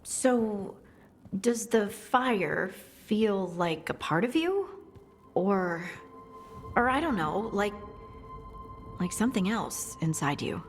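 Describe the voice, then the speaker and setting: A woman playing a gentle, unsure young scout who talks hesitantly and softly in a video game cutscene. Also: medium pitch, animated, takes it slowly, pauses often, long reverb tail, faint music behind. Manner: hesitant and soft-spoken. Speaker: party companion.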